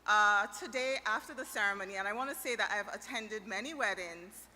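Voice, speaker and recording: A woman's voice: mid-range voice, fairly quick, a little hiss or hum. A middle-aged woman with a Barbadian accent giving an earnest, emotional toast at a wedding reception. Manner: earnest, emotional, warm-spoken. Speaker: wedding guest giving a greeting. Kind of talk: heartfelt toast. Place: large reception hall. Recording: reverberant hall with faint ambience.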